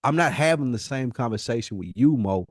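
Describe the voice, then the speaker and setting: A man's voice: mid-range voice, animated, fairly quick, no echo, studio quality. An American man co-hosting a podcast in casual, argumentative chat, blunt and emphatic, repeating himself to press his point. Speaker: podcast co-host.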